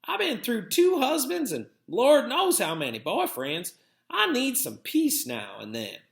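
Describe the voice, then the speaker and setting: A man's voice: very high-pitched, huge pitch swings, measured pace, vocal fry, reverberant, voice pushed up, full character voice. A man voicing a plain-spoken, worn-out old country grandmother, blunt and folksy, in a storybook reading. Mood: firm, weary.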